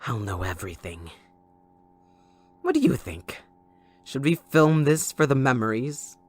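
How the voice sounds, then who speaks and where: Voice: mid-range voice, big pitch swings, a little slow, slightly rough. A performer voicing a gleeful, sadistic supervillain kidnapper in an audio roleplay, theatrical, mocking and possessive toward a captive listener. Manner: theatrical, mocking, giggling, swooping between croon and shriek.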